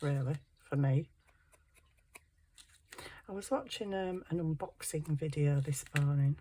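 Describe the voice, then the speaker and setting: A hoarse middle-aged woman chatting casually to herself while crafting, muttering and poking fun at herself. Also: sits low, unhurried, mellow, slight background noise. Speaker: craft channel host.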